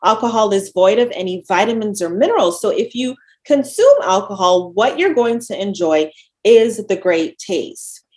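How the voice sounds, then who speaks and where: A woman's voice: medium pitch, animated, normal speed, a little mellow. Upbeat Jamaican woman presenting. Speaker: dietitian presenter. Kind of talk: webinar presentation. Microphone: video call.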